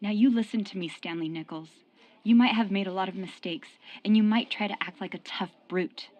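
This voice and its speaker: An actress in a radio drama playing a loyal, forgiving young sweetheart with a firm streak. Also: female voice, medium pitch, a little fast, a little mellow, close to an everyday voice. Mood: firm, loving.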